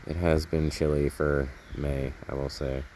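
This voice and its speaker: A man's voice: rumbling low, level tone, a little slow, dark tone, a little hiss or hum. A man casually narrating hands-on garden work outdoors, rambling and thinking aloud as he goes, recorded on a handheld camera. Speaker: gardening vlogger.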